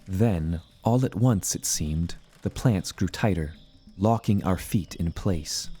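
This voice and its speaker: A man narrating dramatic scripted audio fiction in the first person, with measured, deliberate storytelling. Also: low voice, warm tone, breathy edge. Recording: music behind the voice.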